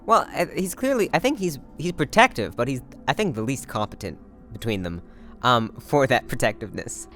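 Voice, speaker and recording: Guy casually explaining a play character, kinda hesitant, thinking out loud, music in the back. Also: mid-range voice, lively intonation, fairly quick. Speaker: actor discussing a play.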